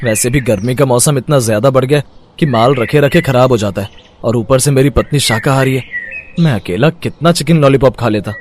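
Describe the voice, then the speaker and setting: An actor playing a weary, henpecked village food vendor in a story cartoon, expressive and given to grumbling and pleading. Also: male voice, medium pitch, fairly quick, slight background noise, natural voice. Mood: tired, relieved. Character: weary, henpecked village chicken-lollipop street vendor husband. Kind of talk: animated moral-story voice acting.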